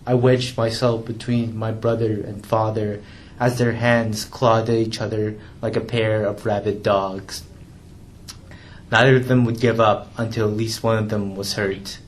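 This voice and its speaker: A young man reads from his memoir in a lowish, steady voice, measured and restrained, catching on a word now and then. A faint room hum sits under the reading. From Canada. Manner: measured, restrained reading with occasional small stumbles and repeats. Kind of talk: literary reading aloud.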